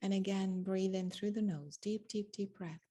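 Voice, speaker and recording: A woman leading a guided meditation, giving spoken instructions in deliberate short phrases with long pauses between them. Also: mid-range voice, steady tone, warm tone.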